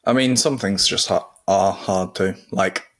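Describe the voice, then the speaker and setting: A male podcast host chats with his guest in an easy, encouraging way. His voice sits low, and he talks in long, loose sentences. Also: a little slow. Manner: relaxed, chatty, encouraging. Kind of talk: casual interview chat.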